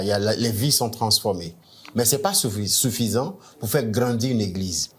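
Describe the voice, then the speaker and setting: A middle-aged man from Nigeria, a native English speaker, giving a measured teaching talk as a church management coach, often restarting and stressing key words. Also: medium pitch.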